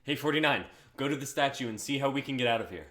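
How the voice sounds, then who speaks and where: A man's voice: mid-range voice, lively intonation, fast, fairly bright tone, natural voice. Man as a brash, wildly dynamic young fighter. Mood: tense. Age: young adult. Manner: dramatic, cocky. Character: brash hot-headed male card-summoning anime-style fighter. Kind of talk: amateur dramatic script reading on livestream.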